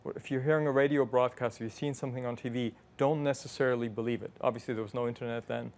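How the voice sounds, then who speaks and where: A man's voice: mid-range voice, fairly even intonation, quick pace, darkish tone. Thoughtful man in his fifties. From West Germany. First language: German. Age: 50s. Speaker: interview guest. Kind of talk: reflective conversational storytelling.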